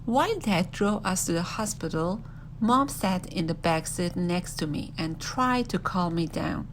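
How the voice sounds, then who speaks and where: A woman reading a first-person children's story aloud in an expressive, animated storytelling manner. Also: fairly low pitch, unhurried, a little hiss or hum, rough recording.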